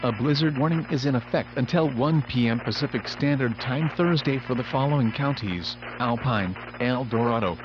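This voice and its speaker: A synthetic man's voice delivers formal weather alerts over the radio. The sound is dull and lo-fi, buried in heavy noise and hum. The delivery is measured and impersonal, at a medium pitch. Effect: weather radio broadcast. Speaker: automated weather radio announcer. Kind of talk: scripted emergency weather alert bulletin. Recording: muffled noisy weather radio broadcast, humming.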